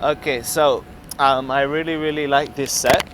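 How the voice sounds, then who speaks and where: A man's voice: mid-range voice, fairly even intonation, normal speed. A young Australian man with a laid-back manner, chatting casually and narrating with lots of ums. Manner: laid-back, laughs often, many ums. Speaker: dating coach. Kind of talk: casual street chat and voice-over commentary. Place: outdoors in a city park. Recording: outdoor city noise, traffic.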